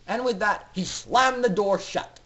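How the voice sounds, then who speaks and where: A man's voice: high voice, a little slow, sharp tone. A man reading a story aloud as a dramatic amateur narrator, theatrical and emphatic in his delivery. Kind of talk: dramatic fan fiction reading.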